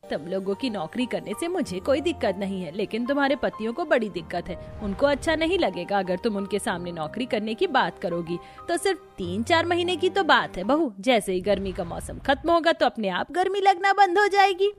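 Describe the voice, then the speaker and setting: Family cartoon role, played by a woman: earnest, practical homemaker, fairly high pitch, faint music underneath. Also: sing-song, fairly quick, hardly any pauses. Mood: patient explaining. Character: poor, practical mother-in-law and resourceful daughter-in-law. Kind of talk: Hindi family cartoon acting.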